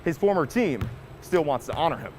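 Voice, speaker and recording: A man's voice: on the high side, big pitch swings, brisk, noisy background. A man reading a scripted sports news report as a reporter.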